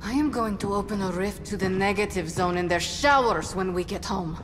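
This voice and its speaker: A woman's voice: mid-range voice, normal speed, background noise, lightly acted. An actress playing a haughty, imperious female sorceress in a video game cutscene, speaking formally. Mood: indignant.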